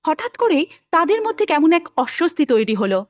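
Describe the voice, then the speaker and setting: A woman's voice: extremely high pitch, big pitch swings, brisk. A woman narrating a children's story from a script.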